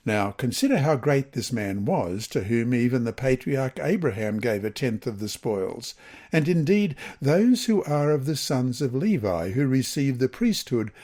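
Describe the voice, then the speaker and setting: A man reading a scripted Bible lesson and scripture passages in a measured, deliberate way. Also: mid-range voice, very animated, darkish tone. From Queensland, Australia. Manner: measured, deliberate read-aloud delivery. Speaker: lesson reader.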